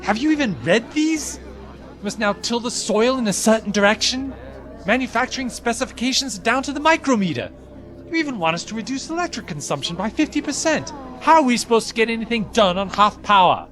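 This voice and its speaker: A man's voice: very high voice, sing-song, brisk, fairly bright tone, full character voice. An actor playing an exasperated, argumentative citizen of a strict colony in an audio drama, protesting as he talks. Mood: indignant. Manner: indignant, protesting.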